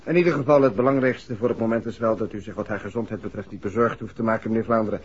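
An actor in a 1960s Dutch radio play playing a reassuring but firm family doctor. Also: male voice, lowish voice, very fast, slightly rough. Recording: dull, muffled old radio recording.

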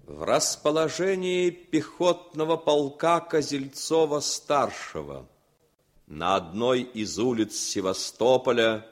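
Radio drama narrator: sober scene-setter, unhurried, low voice. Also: male voice.

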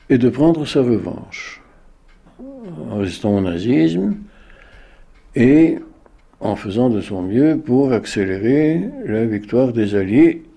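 Elderly man in his eighties, slow unhurried speech, very dark mellow tone, grave and deliberate. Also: mid-range voice, gravelly, breathy edge. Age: 80s. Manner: grave, deliberate, searching for words. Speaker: interviewee, witness. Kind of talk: slow, measured recollection.

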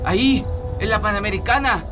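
A man's voice: sits high, brisk, natural voice. A Chilean man playing an uneasy neighbourhood leader on the run, in a radio drama. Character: uneasy neighbourhood leader, escaped political prisoner. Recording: music under the voice.

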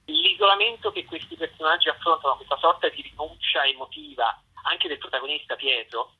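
A man's voice: a little high, very animated, a little fast. A man speaking as an interviewed theatre director, answering spontaneously over a telephone call. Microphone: phone call. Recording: muffled telephone line, husky distortion.

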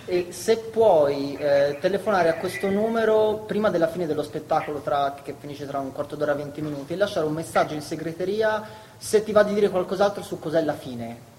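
A man's voice: a little high, brisk, a little mellow. A man, a stage performer speaking during a live show. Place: theatre stage. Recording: echoey theatre, faint background noise.

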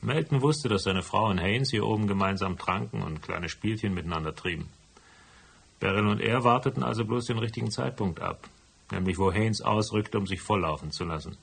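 An actor playing a stubborn, hardboiled private detective in a German radio drama, dry, laconic and matter-of-fact. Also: male voice, on the low side, fairly quick, creaky, a bit bright, slightly rough, heavily acted. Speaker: voice actor and first-person narrator.

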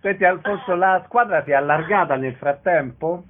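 A man's voice: high-pitched, normal speed, phone-quality sound, slight background noise. A man working as a radio interviewer, putting informal questions and brief interjections to a guest.

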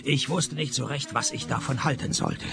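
An actor in a radio play playing a cynical private detective who narrates and questions with dry sarcasm. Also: male voice, medium pitch, moderate pace, creaky, over music, lightly acted. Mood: wry narrating. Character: sardonic, cynical male private detective narrating his case.

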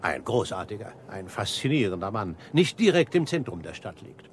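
An actor playing a pompous, devoted restaurant regular in a radio drama, speaking formally and with reverent emphasis. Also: male voice, on the low side, sing-song, moderate pace, slight background noise. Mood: fervent, proud. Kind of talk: German radio drama acting.

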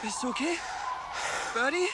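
Brash, animated teenage boy. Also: very high-pitched, normal speed, heavy background noise. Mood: worried, teasing. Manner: brash, blunt, slangy. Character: brash, worried, down-to-earth teenage boy, the loyal friend. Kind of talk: German radio drama acting.

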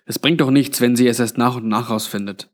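Guy as tired old undead man, mid-pitched, grave and resigned, audiobook ghost story. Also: steady tone, a little fast, natural voice.

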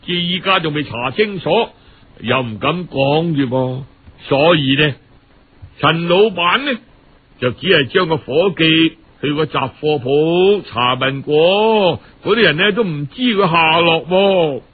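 A man's voice: a little high, animated, breathy edge, narrow, tinny audio. A man with a Cantonese accent telling a story in a dramatic, colloquial radio audiobook style. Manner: dramatic, colloquial, with drawn-out phrase-end particles.

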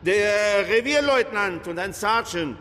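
An actor playing a blustering, sarcastic police chief giving orders in a crime radio drama. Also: male voice, sits high, expressive, slow pace, fairly bright tone, in a large hall, full character voice. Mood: firm negotiating. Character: gruff, irascible male police chief.

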